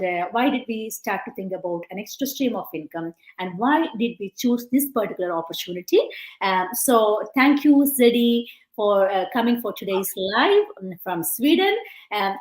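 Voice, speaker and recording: A woman hosting a live-stream interview over a video call, chatty and full of "like" and "you know". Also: medium pitch. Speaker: host. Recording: roomy echo.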